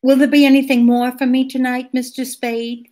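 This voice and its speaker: A woman's voice: sits high, little pitch movement, normal speed, darkish tone, natural voice. A woman playing a detective's efficient, familiar secretary in a 1940s-style radio drama. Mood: obliging.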